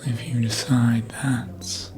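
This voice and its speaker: A woman playing a patient, possessive elder vampire mentor in a hushed, measured ASMR roleplay, mostly in whispers. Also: extremely low pitch, flat delivery, music in the background. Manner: hushed, measured, lingering pauses.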